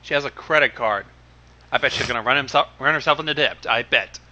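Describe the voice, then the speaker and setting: A man bantering casually and bluntly as a co-host, quick to exclaim and swear. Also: mid-range voice, pushed and bright, muffled recording. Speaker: livestream co-host. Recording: faint noise with clicks.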